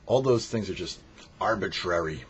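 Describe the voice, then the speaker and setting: A man's voice: low voice, expressive, moderate pace, breathy edge. A man speaking as a rabbi giving a short religious lesson, teaching in an emphatic, didactic way with rhetorical questions. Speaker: rabbi hosting a weekly Torah portion show. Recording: dull lo-fi audio with faint noise.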